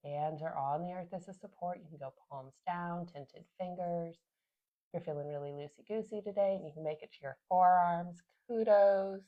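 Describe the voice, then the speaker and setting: A woman yoga instructor calmly cueing a seated class, a little sniffly from a sinus infection. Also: fairly low pitch, dull audio. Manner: gentle, calm cueing with soft pauses.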